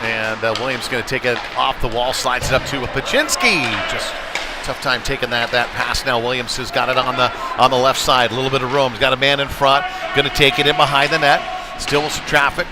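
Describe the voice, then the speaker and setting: A man's voice: medium pitch, fairly even intonation, brisk. A man doing live play-by-play commentary of a youth ice hockey game, in a folksy, home-team way. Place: indoor ice rink, at the game. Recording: loud rink noise with puck slams. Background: loud rink ambience, bangs.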